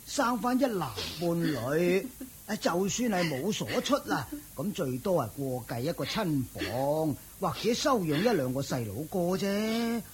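An actor playing an anxious rich old father in a Cantonese radio drama, sighing and lamenting in a drawn-out Hong Kong Cantonese delivery. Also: high voice, lively intonation, slight background noise. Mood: troubled, regretful.

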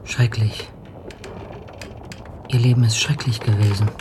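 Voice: mid-range voice, level tone, unhurried, background noise, lightly acted. A performer playing a grave listener in a radio drama, questioning a storyteller directly. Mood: appalled.

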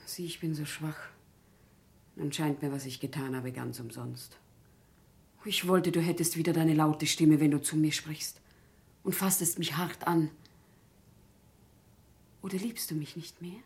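An actress playing a proud, wounded wife hailed as a saint in a German radio play, with intense theatrical delivery. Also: female voice, sits low, a little slow, pauses often, darkish tone, exaggerated voice. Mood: wounded pleading. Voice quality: husky, breathy.

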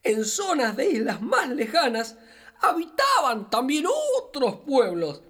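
A woman's voice: high voice, slow pace, full character voice. A woman playing a friendly, wise capybara who patiently explains things to a child in children's radio theatre, with an Argentine accent. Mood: patient, explaining. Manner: patient, expressive explaining, storyteller-like. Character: friendly wise capybara guiding a little girl.

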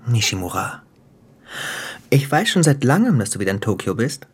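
Radio drama gangster boss: menacing, theatrical, breathy, with a very animated, swinging delivery. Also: male voice, medium pitch, moderate pace. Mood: cool menace. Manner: taunting, theatrical, dropping into whispers. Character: menacing, sardonic gangster boss, cruel and playful. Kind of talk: German radio drama acting.

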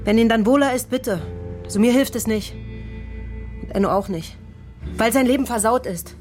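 A woman's voice: fairly high pitch, moderate pace, a little mellow, soft background music, natural voice. An actress playing a bitter, worn-out middle-aged working mother in a radio drama, plain-spoken, reproachful and emotionally raw. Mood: bitter, agitated. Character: bitter, exhausted working mother of an injured teenage son. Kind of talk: naturalistic radio drama acting.

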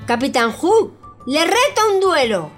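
A woman's voice: high voice, very animated, measured pace, over music. A woman playing a brave, boastful young boy hero in a children's audio drama, bold and theatrical. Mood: bold, defiant.

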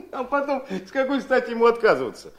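High-voiced, sly young son. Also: male voice, sing-song, fast talker, a bit bright, lo-fi sound, heavily acted. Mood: sly, excited. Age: young adult. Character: feckless, sly, eager-to-please young son. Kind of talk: theatrical radio drama acting.

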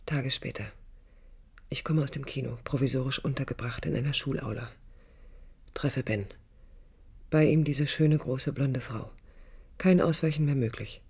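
An actress playing a wistful, jealous young dreamer in love in a 1980s radio play, speaking in a hushed, introspective way. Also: female voice, very deep voice, breathy edge, full character voice. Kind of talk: 1980s radio play, intimate first-person narration. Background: music.

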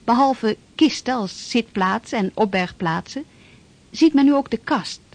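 A woman reading scripted, descriptive narration within a radio play. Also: mid-range voice.

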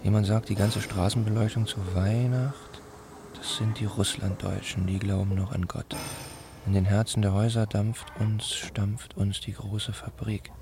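Casting: laconic small-town villager, radio play, low even delivery, gravelly, music underneath. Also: male voice, unhurried. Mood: laconic, dry. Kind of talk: scripted radio play monologue.

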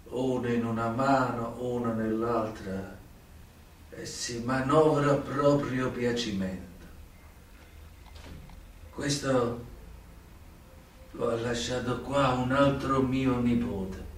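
An actor playing a worldly, sly old mafia turncoat in a radio drama, with a southern Italian accent and weighty short phrases between long pauses. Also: male voice, mid-range voice, a little hiss or hum, lightly acted. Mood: knowing, grave. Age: older. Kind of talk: theatrical radio drama acting. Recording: very echoey stage, distant microphone.

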